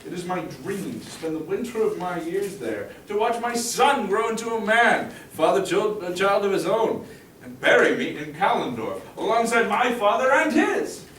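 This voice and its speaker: A man's voice: high-pitched, big pitch swings, normal speed, a bit bright. Man playing a boastful old marksman hero. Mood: proud. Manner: boastful, declamatory. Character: proud aging male marksman hero, boastful. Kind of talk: table read acting. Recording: roomy room, distant mic, faint hiss.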